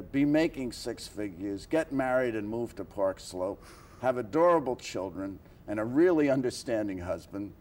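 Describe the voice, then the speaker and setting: Older male talk-show interviewer, somewhat low voice, measured questions broken by frequent pauses. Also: darkish tone, slight background noise. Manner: halting, deliberate, frequent pauses. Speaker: interview host.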